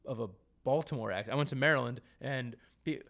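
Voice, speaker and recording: Gravelly-voiced chatty man hosting. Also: mid-range voice, fast, a bit bright. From New Jersey. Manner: chatty, lots of restarts and stammers. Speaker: podcast host. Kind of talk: casual chat.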